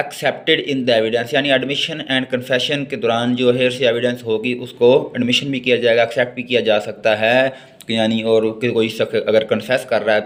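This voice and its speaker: A man with a Pakistani accent lecturing on law, mixing Urdu and English as he reads out and explains. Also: mid-range voice.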